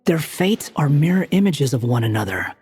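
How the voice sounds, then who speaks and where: Sly deep-voiced lady, husky and dry, teasing, long echo on it. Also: very animated, moderate pace, darkish tone. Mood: wry. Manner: dry, teasing, matter-of-fact. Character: sly, self-assured woman, wry and knowing. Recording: game dialogue with long reverb and music.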